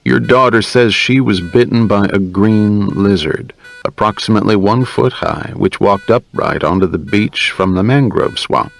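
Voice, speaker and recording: Male narrator as American male scientist, low voice, affable, easygoing, precise, audiobook dialogue. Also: unhurried, vocal fry, soft background music. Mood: precise, assured.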